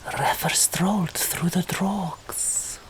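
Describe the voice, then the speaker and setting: A woman narrating an audiobook chapter in a soft, deliberate ASMR whisper. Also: lowish voice. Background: loud music.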